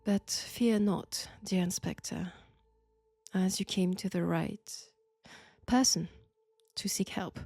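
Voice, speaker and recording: A woman with a French accent playing a sinister, flirtatious archaeology professor possessed by an ancient god, deliberate and teasing in an intimate audio roleplay. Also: lowish voice, gravelly, darkish tone, husky, no room sound, exaggerated voice. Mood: grave, protective. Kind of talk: slow, intimate roleplay acting.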